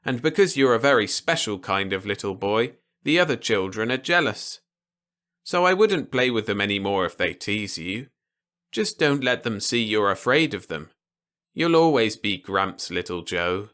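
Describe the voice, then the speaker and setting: A gentle old grandfather from a storybook, acted by a man. He speaks protectively and patiently, explaining things in simple words to a small child. The voice has a forward, bright edge. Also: lowish voice, big pitch swings, normal speed. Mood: tender, explaining. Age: older.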